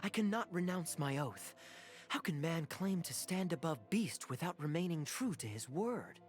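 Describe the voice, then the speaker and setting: A man's voice: on the high side, expressive, lightly acted. Man acting a suave, grandiose young prince, music behind. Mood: solemn, anguished. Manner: grandiose, measured, dramatic pauses. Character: suave young swordsman acting a noble tragic stage prince. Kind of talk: theatrical stage-play declamation in a fantasy video game.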